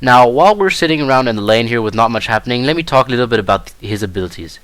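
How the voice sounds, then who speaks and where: Young male gamer, German first language, halting tutorial commentary, mid pitch, dry close mic. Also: animated, a bit bright, no room sound. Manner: hesitant, many fillers and restarts. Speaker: gameplay commentator. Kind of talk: casual game tutorial commentary.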